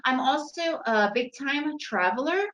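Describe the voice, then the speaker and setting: Bright-voiced chatty woman presenter. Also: sits high, animated, unhurried, rough recording. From Ukraine, raised in the United States. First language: Russian. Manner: chatty, storytelling, addresses audience with "right?". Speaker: trading webinar presenter. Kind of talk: casual presentation.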